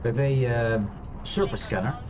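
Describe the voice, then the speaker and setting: A man streaming a space game, chatting loosely with many fillers and restarts, laughing now and then. He has a loud voice. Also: medium pitch, normal speed, background noise. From Europe. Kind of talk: casual gameplay commentary. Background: quiet game music.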